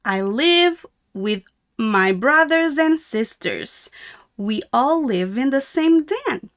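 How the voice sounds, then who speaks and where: A woman voicing a friendly little meerkat in a children's storybook, with a simple, deliberate, childlike sing-song delivery. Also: high-pitched, lively intonation, a bit bright, full character voice.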